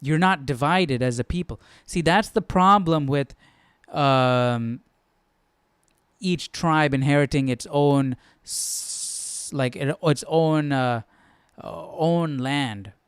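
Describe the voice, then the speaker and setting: A man with a smooth, clear voice talks casually as the host of a study session. His pitch sits a little high, and the recording is close and dry. He thinks aloud conversationally, often repeating phrases as he questions things. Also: takes it slowly, no room sound. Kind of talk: casual off-the-cuff commentary.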